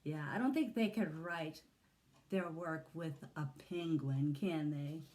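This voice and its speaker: A woman reading a picture book aloud to young children in an expressive, deliberate manner, with little asides to her listeners. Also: sits low. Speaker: children's story reader, Montessori educator.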